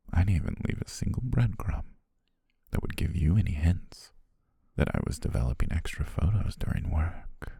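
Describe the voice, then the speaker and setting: Man acting as a very deep, whispery obsessive stalker. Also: no room sound, exaggerated voice. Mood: obsessive, confessing. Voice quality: husky, gravelly, half-whispered. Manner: slow, hushed, deliberate, with drawn-out pauses. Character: obsessive male yandere photographer, soft-spoken, possessive, menacing. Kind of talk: ASMR roleplay, intimate slow monologue.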